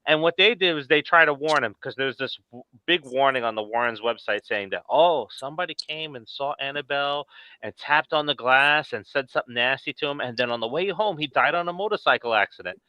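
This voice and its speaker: A man's voice: mid-range voice, lively intonation, a little fast, clean tone, no room sound, highs cut off. A man hosting a casual live talk show, telling stories informally and acting out quotes as he goes. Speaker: podcast host.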